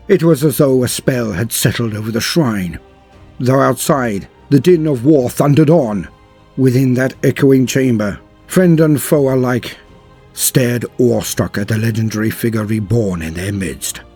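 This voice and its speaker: A man's voice: medium pitch, unhurried, darkish tone, husky, soft background music. A man narrating a scripted tale in a grave, theatrical manner, drawing out words for emphasis. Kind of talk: dramatic scripted lore narration.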